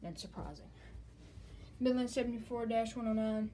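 Casual, halting woman vlogger, noisy home recording. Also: on the high side. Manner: informal, halting, repeats stock phrases. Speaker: host of a gadget collection video channel. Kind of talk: casual handheld show-and-tell vlog. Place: small room indoors. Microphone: handheld phone or camera, held close.

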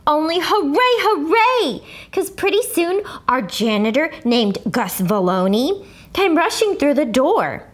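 Woman performing a high, dramatic little first-grade girl. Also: big pitch swings, unhurried. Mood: animated. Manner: childlike bad grammar, exaggerated emphasis. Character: excitable first-grade girl narrating her journal, blunt and dramatic. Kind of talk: expressive children's book read-aloud.

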